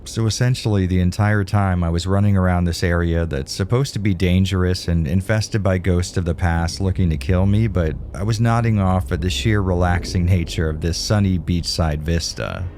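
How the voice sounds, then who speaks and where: A man with a low, mellow voice talks through a game review voice-over. His delivery is casual and candid, with a dry, wry touch, and a faint hiss sits under the recording. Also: normal speed, few pauses.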